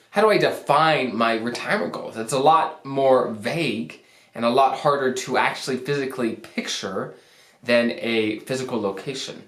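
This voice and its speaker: A young man talks casually to his viewers, upbeat and chatty, his voice pushed deeper than usual by a lingering cold. His pitch sits in the middle for a man. The sound carries the ring of an echoey room. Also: fairly bright tone. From Arizona, US. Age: young adult. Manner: upbeat, conversational, self-joking. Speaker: financial planner hosting a channel. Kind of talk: casual advice talk to camera.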